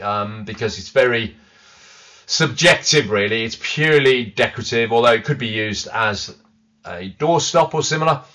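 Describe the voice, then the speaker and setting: A man's voice: mid-range voice, pushed and bright. Middle-aged guy rambling about his antiques finds, lots of ums, talking into a laptop cam in a small home office. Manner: chatty, loose, with frequent ums and restarts. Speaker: antiques reseller. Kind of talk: casual haul vlog.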